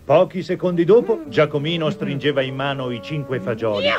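A man's voice: mid-range voice, lively intonation, brisk. A man narrating a children's cartoon fairy tale in a scripted, theatrical storytelling manner. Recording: dull lo-fi TV soundtrack with music. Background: music.

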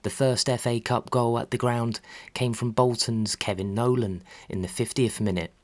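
A man reading scripted documentary narration about sport in a measured, factual way. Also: mid-range voice, steady tone. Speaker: narrator of a football history channel.

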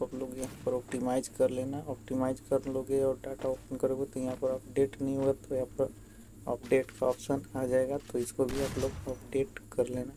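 A man's voice: medium pitch, warm tone, a little hiss or hum, poor audio. A man giving a casual, instructional how-to voice-over, addressing viewers directly and repeating himself. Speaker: tutorial video host. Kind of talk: casual how-to narration over screen recording.

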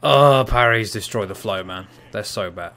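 A young man doing casual, chatty live commentary on a fighting game from a small room at home, shouting out reactions and laughing with viewers. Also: on the low side, moderate pace. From half Cypriot. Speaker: gaming streamer.

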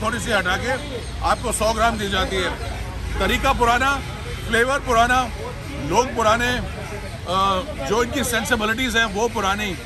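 A middle-aged man hosting a food vlog, chatting and joking as he describes the food on an outdoor market street. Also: high-pitched. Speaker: food show host. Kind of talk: casual food vlog chat. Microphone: phone camera at close range. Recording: outdoor street crowd behind.

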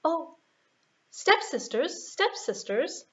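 A woman's voice: sits high, big pitch swings, takes it slowly, a bit bright, highs cut off, voice pushed up, heavily acted. A woman voicing a sweet, meek, wistful young servant girl in a puppet show for children.

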